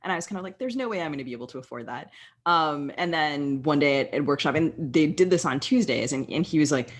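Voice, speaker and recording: A woman's voice: low-pitched, lively intonation, fast talker. Chatty American woman co-hosting a podcast. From Maryland, United States. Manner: conversational, anecdotal, quotes dialogue with "like". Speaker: podcast co-host. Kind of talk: casual reminiscing chat.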